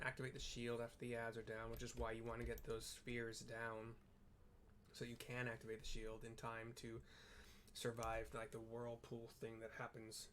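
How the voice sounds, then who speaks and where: A man's voice: medium pitch, a bit bright, a little hiss or hum. Chatty man commentating on a video game. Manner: chatty, descriptive, playful asides. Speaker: gaming video narrator.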